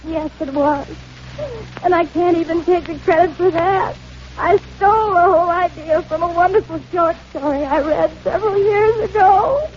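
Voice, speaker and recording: An actress playing a guilt-stricken, lovesick drama coach in a 1940s radio play, earnest, hesitant and melodramatic. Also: female voice, very high voice, moderate pace, a little mellow. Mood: tearful resignation. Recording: muffled 1940s radio recording with noise.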